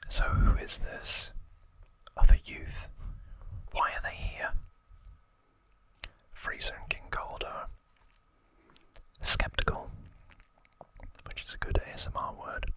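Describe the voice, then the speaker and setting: ASMR host: whispered throughout, slow and level, informal storytelling, close-miked. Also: sharp tone, low-quality recording. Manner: casual, informal recap with repeated words for effect.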